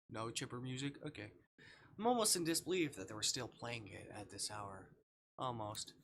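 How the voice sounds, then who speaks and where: A man reads a visual novel aloud on a livestream in a bright, forceful voice. His delivery is theatrical and very lively in pitch, moving between narration and reaction. A faint noise sits behind him. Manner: theatrical, swings from hushed narration to shouting.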